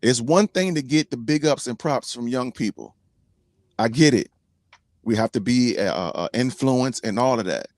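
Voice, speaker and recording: A man's voice: mid-range voice, expressive, moderate pace, a little mellow, no room sound, studio quality. A man in his forties, a team trucker and co-host, talking bluntly and preachily in casual slang. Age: 40s. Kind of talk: casual podcast chat.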